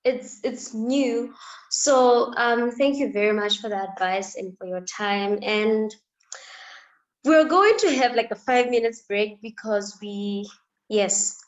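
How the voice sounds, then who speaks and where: A young woman in her late teens hosts in a high voice. She speaks informally and earnestly, feeling her way through unscripted phrases. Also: slow pace, muffled recording. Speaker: event co-host. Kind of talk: casual unscripted hosting talk.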